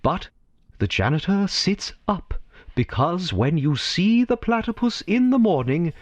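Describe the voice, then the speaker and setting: A man narrating a scripted story theatrically, with dramatic, deliberate phrasing and pauses. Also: high voice, big pitch swings, no room sound.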